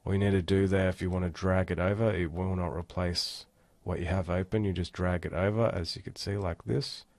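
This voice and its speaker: A man giving a casual, step-by-step software walkthrough as an instructor. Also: low voice, steady tone, a little fast, few pauses, no echo. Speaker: tutorial instructor. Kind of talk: screen-recorded software tutorial, casual walkthrough.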